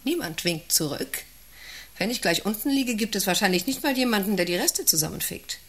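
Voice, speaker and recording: An actress in a radio play playing an imperious, overwrought woman director, theatrical, moving between hushed musing and sharp commands. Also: medium pitch, expressive, a little fast. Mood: wistful. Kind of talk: German radio play acting.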